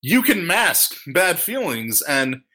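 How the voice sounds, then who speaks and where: A man's voice: fairly high pitch, very animated, slow pace, bright, forceful tone. A man talking casually and earnestly as part of a podcast discussion. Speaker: podcast panelist.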